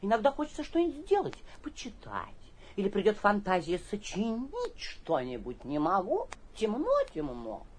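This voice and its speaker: A woman playing a vain, boastful young gentleman from the capital in a radio play, affected and self-important, with a husky voice. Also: medium pitch, huge pitch swings, normal speed. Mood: boastful, complaining. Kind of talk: theatrical radio drama acting.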